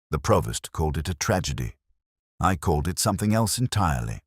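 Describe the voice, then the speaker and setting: A man reading scripted first-person detective fiction as narrator, in a measured, reflective, literary manner. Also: very deep voice, dry recording, studio quality.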